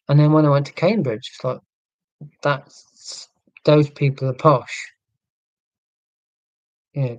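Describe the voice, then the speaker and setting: A middle-aged man with a neutral southern English accent, talking slowly and deliberately in a casual, reflective monologue. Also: mid-range voice, a little mellow, no room sound, low-quality recording. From Scotland, raised in England. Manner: slow, deliberate speech with pauses. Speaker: vlogger.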